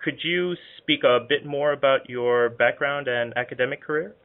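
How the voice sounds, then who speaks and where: A man's voice: mid-range voice, moderate pace. A man hosting a podcast, reading polite, formal introductions and interview questions. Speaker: podcast host. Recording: tinny phone-like line.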